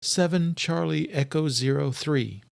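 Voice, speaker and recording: A man's voice: fairly high pitch, a little slow, vocal fry, dry recording, clean studio sound, pitched above the performer's own voice. A man voicing an anxious, pleading young woman who is searching for her husband in an audiobook thriller. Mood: subdued worry. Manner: pleading, urgent.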